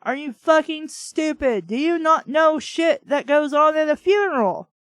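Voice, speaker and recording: A woman's voice: high-pitched, expressive, measured pace, fairly bright tone, no echo. A woman hosting a bad fiction show, reading a story aloud and breaking into blunt, sweary, exasperated commentary.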